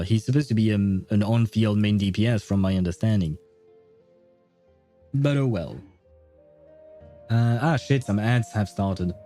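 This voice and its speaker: Male game streamer, casual and rambling, thinks aloud, soft dark timbre over faint background music. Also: on the low side. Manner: rambling, thinking aloud, chuckles at own jokes. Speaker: livestream host. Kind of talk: casual gaming livestream chat.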